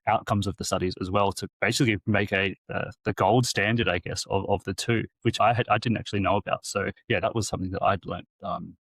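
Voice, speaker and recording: Male podcast co-host, quick and hesitant, lowish voice, dry sound. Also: fairly even intonation, dry recording. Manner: rambling, hesitant, trails off quietly. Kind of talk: casual podcast chat.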